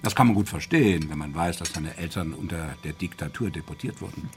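A genteel, gravelly, lecturing doctor. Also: male voice, deep voice, fairly quick. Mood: explaining. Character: courteous, self-assured male doctor explaining bodily ailments. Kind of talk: German radio crime drama acting.

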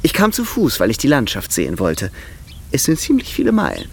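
An actor playing a charming, worldly young European gentleman in a radio drama, polite, effusive and playfully theatrical. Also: medium pitch, wildly swooping pitch, fairly quick, vocal fry, a little hiss or hum.

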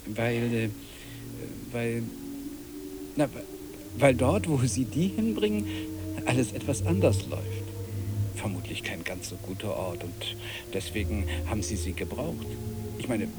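An actor in a radio drama playing a devoted cockroach husband, anxiously optimistic, who reassures in a hesitant, earnest, self-correcting way. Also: male voice, fairly low pitch, lively intonation, normal speed, over loud music, close to an everyday voice. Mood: strained reassurance.

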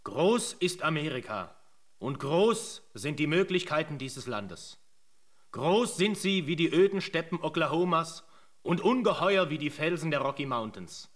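A man narrating a German radio drama as a solemn chronicler, in measured, scripted literary sentences. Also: fairly high pitch, sing-song, a bit bright, heavily acted. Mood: grave. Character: solemn male chronicler of an emigrant's tale.

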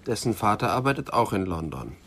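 Ein Schauspieler spielt in einem deutschen Krimihörspiel einen aufmerksamen, schnell kombinierenden Kommissar mit höflicher Art. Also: male voice, fairly low pitch, very animated, brisk, a bit bright. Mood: alert. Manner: alert, deductive, courteous. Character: attentive, quick-witted male police detective. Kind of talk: German radio crime drama acting.

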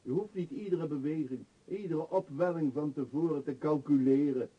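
A man's voice: a little high, moderate pace, husky. A male actor playing a dreamy, free-spirited vagabond in a Dutch radio play, with a lyrical, musing delivery. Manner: lyrical, musing, rhetorical questions. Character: dreamy, free-spirited vagabond who scorns civilised life. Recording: dull, lo-fi old radio recording.

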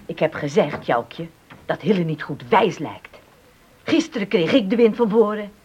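A woman's voice: mid-range voice, animated, moderate pace, highs cut off, noisy background, lightly acted. An actress playing a blunt, devout, protective middle-aged mother in a Dutch radio drama, speaking emphatically and homely. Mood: indignant. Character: plain-spoken, devout mother, defiant and protective.

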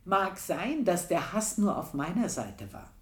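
An actress playing an aging, brooding housemaid in a radio play monologue, moving from loud outbursts to hushed whispers. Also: female voice, fairly low pitch, very animated, unhurried, over loud music. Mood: bitter. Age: older.

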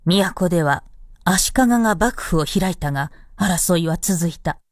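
A woman's voice: on the low side, expressive, measured pace, dry recording, clean studio sound. A woman narrating a radio drama as a scripted storyteller. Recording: loud music behind narration.

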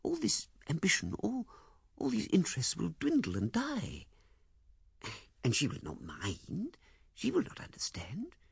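A man voicing a heartbroken young woman who pleads in a soft, half-whispered voice. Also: sits high, huge pitch swings, unhurried, vocal fry, pauses often, breathy edge, no room sound, studio quality, pitched above the performer's own voice, full character voice. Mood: pleading.

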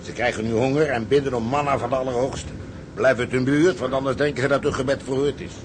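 An actor playing a commanding, stubborn, cocksure sea captain in a Dutch audio drama. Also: male voice, mid-range voice, steady tone, quick pace, lightly acted. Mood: gruff, scornful. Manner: cocksure, rough-tongued. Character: commanding, stubborn, swaggering male salvage ship captain. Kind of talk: Dutch radio drama acting. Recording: noisy ship ambience behind voice.

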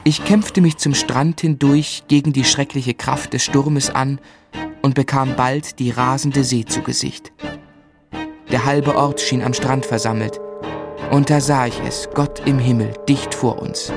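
An actor playing a grave, shaken narrator recalling a tragedy, with measured, dramatic literary delivery in a radio play. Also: male voice, medium pitch, steady tone, over loud music, natural voice. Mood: tense, urgent. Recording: studio radio drama with musical underscore.

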